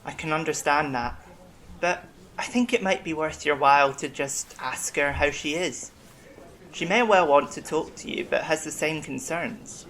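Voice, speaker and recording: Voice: medium pitch, moderate pace, fairly bright tone, close to an everyday voice, poor audio. An actor playing an eccentric, long-lived alien medic in an audio drama, reflective, kindly and wryly self-deprecating. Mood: reassuring.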